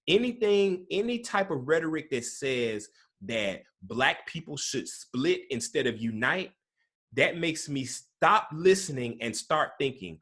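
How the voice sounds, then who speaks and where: Husky male guest, earnest, circles back on his phrases as he builds a point. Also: mid-range voice, fairly bright tone. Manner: repetitive restarts, earnest, rallying. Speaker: guest commentator. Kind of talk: casual political discussion.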